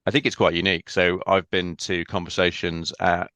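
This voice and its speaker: A man speaking as a podcast guest in a casual interview, thoughtful and measured, often pausing on "um". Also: low voice, level tone, pushed and bright, no echo. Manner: thoughtful, measured, with frequent ums.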